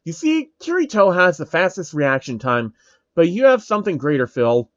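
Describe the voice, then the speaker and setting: A man's voice: on the high side, sing-song, moderate pace, no echo, lo-fi sound, voice pushed up. A man voicing a grandiose, theatrical male game villain who declaims pompously in a story reading.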